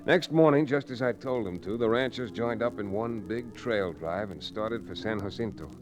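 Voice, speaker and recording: A man's voice: on the low side, normal speed, music in the background, lightly acted. An actor playing a shrewd, tough American frontier hero in an old-time radio western, telling his tale in folksy style. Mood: measured, suspenseful. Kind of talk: old-time radio drama acting, first-person narration. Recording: noisy vintage radio broadcast recording.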